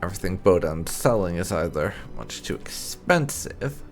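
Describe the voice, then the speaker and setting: A man hosting a let's play, giving commentary in halting, drawn-out phrases. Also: low-pitched, expressive, faint music behind. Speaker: gaming channel host.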